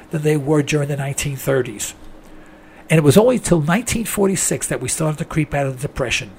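A man with a hoarse, strained voice hosts a call-in radio show, sounding worn down by a throat infection. His fairly high voice carries a gravelly edge. He rambles in a folksy way, calling his listeners folks. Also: a little mellow, slight background noise. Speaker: radio talk show host.

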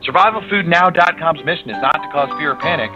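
A man reading a scripted commercial as an earnest sales pitch. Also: quick pace, muffled recording. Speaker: ad announcer. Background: guitar music.

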